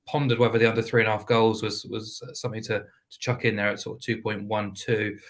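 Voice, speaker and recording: A man talks football betting in a low, fairly level voice. He sounds a little hoarse and speaks in a measured, unhurried way, with frequent ums and restarts. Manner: measured, hesitant with fillers, flat delivery. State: losing his voice. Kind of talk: casual podcast chat.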